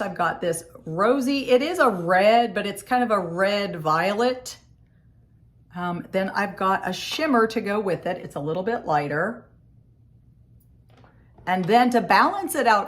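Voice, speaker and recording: A woman with a scratchy, hoarse voice from a cold, chatting casually through a makeup tutorial in her home office. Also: fairly low pitch. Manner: chatty, friendly step-by-step explaining. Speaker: makeup vlogger.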